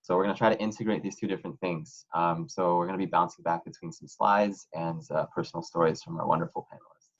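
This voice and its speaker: A young man with a low voice moderates an online panel from home. He speaks in a loose, easygoing way, full of ums and uhs. The video call audio sounds muffled and a little dull. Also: fast. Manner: relaxed, many "um"s and "uh"s.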